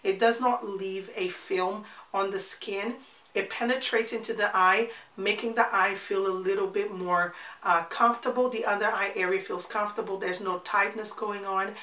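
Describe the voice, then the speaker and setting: A woman's voice: medium pitch, fairly even intonation, moderate pace, sharp tone, reverberant. A woman in her forties with a congested, nasal voice from a cold, chatting her way through a makeup tutorial and repeating words for emphasis. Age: 40s. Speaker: beauty vlogger.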